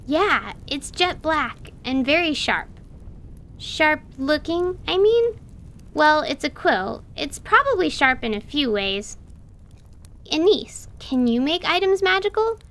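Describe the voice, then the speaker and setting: Young girl adventurer, played by a woman: curious, chatty, very high pitch, some fry, fantasy radio play. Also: very animated, slow, fairly bright tone, full character voice. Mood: earnest. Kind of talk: dramatized tabletop audio drama.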